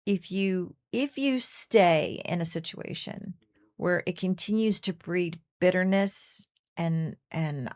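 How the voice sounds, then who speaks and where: A middle-aged woman co-hosting a faith radio talk show, speaking in a lowish voice. She talks earnestly, often restarting her sentences, and acts out the things people say. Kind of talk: casual faith discussion.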